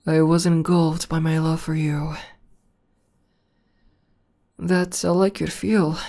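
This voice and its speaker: Tomboy demon girlfriend for ASMR roleplay, female performer: low, even, soft and hesitant, shy but devoted. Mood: shy, loving. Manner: soft, hesitant, bashful, breathy dips into whisper.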